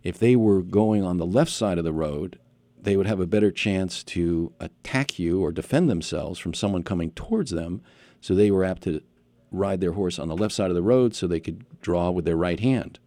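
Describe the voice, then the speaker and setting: A man's voice: sits low, normal speed, warm tone, no room sound. A man hosting an interview podcast on a headset mic, chatty and rambling with many follow-up questions. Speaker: podcast host. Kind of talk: casual interview chat.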